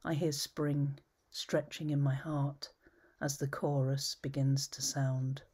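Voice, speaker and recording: Low, husky woman reading poems. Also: flat delivery. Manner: measured, thoughtful reading with soft whispered phrase endings. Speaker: poet. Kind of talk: poetry reading. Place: home study with bookshelves, indoors.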